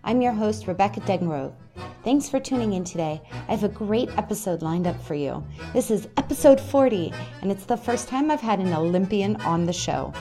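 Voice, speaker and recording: A woman hosting a podcast interview, enthusiastic and quick to laugh. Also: mid-range voice, lively intonation, dark tone, faint music behind. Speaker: podcast host.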